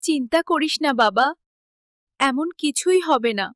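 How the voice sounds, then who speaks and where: A woman's voice: sits high, lively intonation, unhurried, background noise. A woman voicing a fretful, caring village mother in a cartoon fairy tale. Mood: soothing, wistful.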